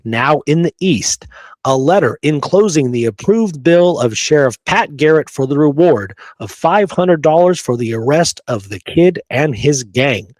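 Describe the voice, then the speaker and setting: A man co-hosting a history talk show, discussing and reading out records in an emphatic, conversational way with halting asides. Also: fairly high pitch, a little mellow, no room sound. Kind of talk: conversational history discussion with read-aloud documents.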